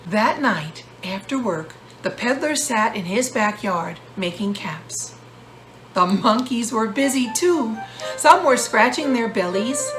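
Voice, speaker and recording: A woman author narrating a children's storybook in measured, deliberate phrases. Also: mid-range voice, poor audio. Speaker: author reading a book.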